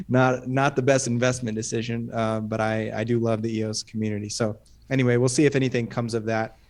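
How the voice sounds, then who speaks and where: A man giving a relaxed, self-deprecating update in an online community meeting. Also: mid-range voice, normal speed.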